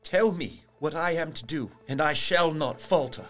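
Man playing heartbroken noble young gentleman, higher-pitched. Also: normal speed, soft background music, close to an everyday voice. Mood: grieving, resolute. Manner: earnest, formal, emotional. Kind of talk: radio play acting.

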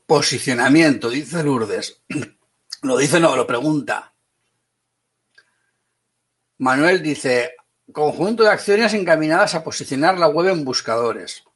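A man from Spain with a Spanish accent teaching an online class, brisk and teasing, calling on students by name. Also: fairly high pitch, expressive, husky.

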